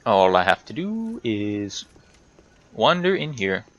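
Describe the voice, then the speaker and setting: A man's voice: on the low side, sing-song, takes it slowly, a bit bright, dry recording, highs cut off. A man giving casual, rambling commentary over a video game playthrough, chatting to himself and to the game's characters. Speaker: gaming video host.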